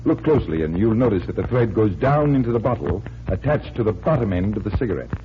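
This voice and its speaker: An actor playing a genial magician detective in a 1940s radio show, patiently explaining tricks. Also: male voice, fairly low pitch, big pitch swings, fast. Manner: patient, instructive. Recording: muffled, hissy 1940s radio recording.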